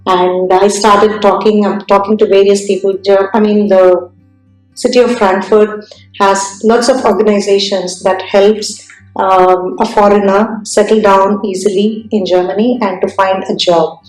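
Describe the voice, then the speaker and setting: A woman from India with an Indian accent, telling her career story in an interview, speaking thoughtfully with many pauses and restarts. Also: medium pitch, little pitch movement, darkish tone, reverberant, faint music behind, rough recording. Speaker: entrepreneur guest.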